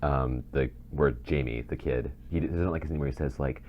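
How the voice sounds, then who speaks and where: A man's voice: rumbling low, steady tone, a little fast. A man co-hosting a podcast in casual chat, hesitant and chipping in with comments. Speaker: podcast co-host. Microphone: faulty mic on a voice call. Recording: dull lo-fi mic, faint noise.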